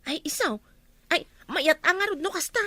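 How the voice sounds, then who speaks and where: Very high, shrill village neighbor. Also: female voice, animated, moderate pace, sharp tone, dry recording, full character voice. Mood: chatty. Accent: Ilocano. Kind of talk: broadcast radio comedy drama acting.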